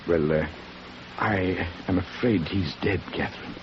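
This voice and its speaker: An actor playing a cautious family doctor in a 1940s radio drama, measured and a little hesitant. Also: male voice, low voice. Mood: measured, sombre. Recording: muffled old radio recording with hiss.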